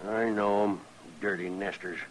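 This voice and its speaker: An American actor playing a surly, defiant rancher, gruff and belligerent, in an old-time radio western. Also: male voice, fairly low pitch, fairly even intonation, unhurried, close to an everyday voice, low-quality recording. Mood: hostile, dismissive.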